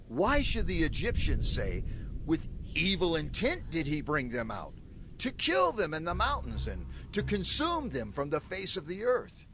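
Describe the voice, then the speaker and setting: Impassioned Moses, widely swooping pitch. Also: male voice, exaggerated voice. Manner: dramatic, declamatory, impassioned. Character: Moses, fervent male prophet and leader of his people. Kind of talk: dramatized scripture audio acting. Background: music.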